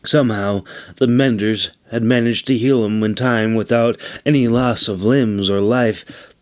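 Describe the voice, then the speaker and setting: A man reading his own fantasy novel as a scripted audiobook narration, with deliberate, measured phrasing. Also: fairly low pitch, fairly even intonation.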